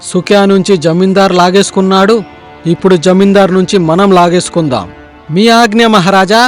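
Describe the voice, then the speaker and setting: A man voicing a scheming, obsequious royal minister in an animated story, deferential and persuasive. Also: sits high, brisk, faint music behind, lightly acted.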